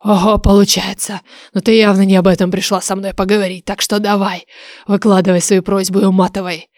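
A woman's voice: medium pitch, level tone, a little fast, a little mellow, dry recording, clean studio sound, lightly acted. A woman voicing a grumpy, blunt old male oracle in a fantasy audiobook, grumbling in colloquial speech. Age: older. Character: grumpy ancient male oracle, telepathic voice from stone egg.

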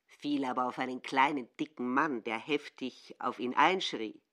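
A woman giving deliberate, expressive literary narration in a radio play. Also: extremely low pitch.

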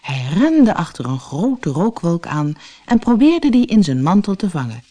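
A storyteller reading a children's fairy tale from a script in an expressive, deliberate way, as for an audiobook. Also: mid-range voice. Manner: expressive, deliberate read-aloud delivery.

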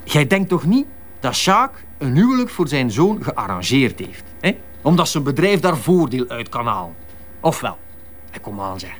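An actor playing a chatty, jovial doctor in a radio drama, speaking with a Flemish accent and coaxing tag questions. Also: male voice, mid-range voice, very animated, normal speed. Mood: amused, teasing. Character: chatty, jovial male doctor, fan of crime novels.

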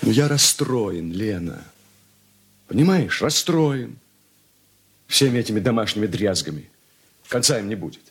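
A man's voice: lowish voice, lively intonation, measured pace, long pauses, husky, full character voice. An actor playing an irritable, evasive young merchant's son, weary of his family, in a Soviet radio play. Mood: weary, upset.

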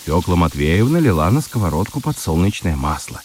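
A man narrating a children's radio play in an expressive storytelling manner. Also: sits low, fairly quick, a little hiss or hum.

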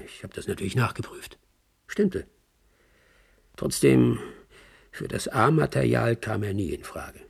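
A man's voice: fairly low pitch, gravelly, a little mellow, slightly rough, exaggerated voice. A man acting a shrewd middle-aged talent agent who narrates a German radio drama in a wry, musing, conversational way.

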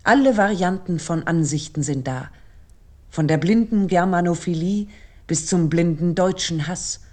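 Woman narrating a literary radio piece, soft dark low voice, measured and essay-like. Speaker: commentator narrator.

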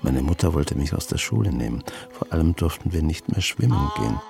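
An actor in a radio drama playing a weary, gruff, sardonic grandfather of seventy with a dry manner and a husky, gravelly voice. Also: rumbling low, big pitch swings, fairly quick, a little mellow, exaggerated voice. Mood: brooding, reflective. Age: 70s. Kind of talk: radio drama acting and monologue.

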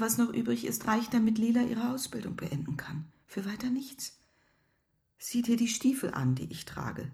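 An actress playing a careworn, insistent poor mother in a radio drama, with a breathy, half-whispered voice. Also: a little high, mellow.

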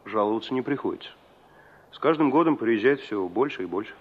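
An actor playing a guarded, plain-spoken young village forester and jealous suitor in a 1960s Soviet radio drama, hesitant and laconic. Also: male voice, lowish voice, lively intonation, fairly quick, slightly rough. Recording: dull old radio drama recording.

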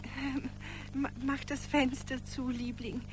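A woman's voice: sits high, little pitch movement, slow pace, background noise. An actress in a radio drama playing a practical young woman, placating and matter-of-fact in manner. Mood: resigned. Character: Daisy, practical young woman, soothing and resigned.

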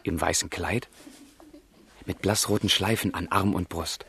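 Ardent young male storyteller, low-voiced. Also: a little slow, husky, full character voice. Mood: tender. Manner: lyrical, expressive. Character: ardent, romantic young man narrating letters to a friend. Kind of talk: dramatised literary narration, radio play.

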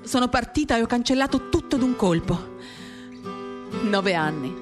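A woman giving a dramatic reading of a literary first-person monologue, measured and expressive, in short phrases. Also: on the high side. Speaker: reader of a novel for radio theatre. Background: acoustic guitar.

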